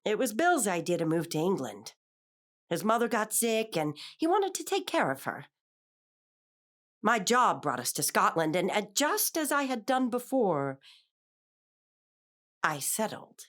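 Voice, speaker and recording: A woman's voice: medium pitch, big pitch swings, measured pace, pauses often, a bit bright. A woman acting an anxious, controlling middle-aged wife and mother in an audio drama, with an earnest, exacting manner. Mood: reflective, defensive. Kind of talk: audio drama acting, confessional monologue and dialogue.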